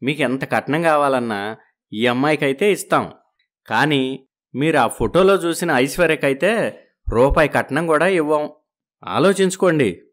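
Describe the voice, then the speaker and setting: A man's voice: on the high side, expressive, a little slow, pauses often, fairly bright tone. A man playing a pragmatic, matter-of-fact old grandfather in a family story. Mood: bargaining. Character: pragmatic old grandfather pushing his favourite granddaughter's match.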